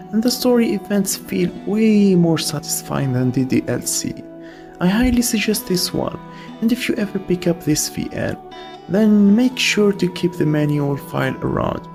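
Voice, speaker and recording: A man's voice: high-pitched, gravelly, a little mellow. A man narrating a scripted video essay, giving opinionated reviews of games.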